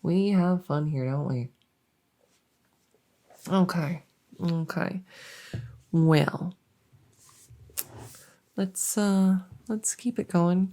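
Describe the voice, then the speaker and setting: Friendly female monster under the bed, played by a woman, silly ASMR roleplay: mellow, low, soft-spoken, playful rambler. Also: creaky, full character voice. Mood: chatty, curious.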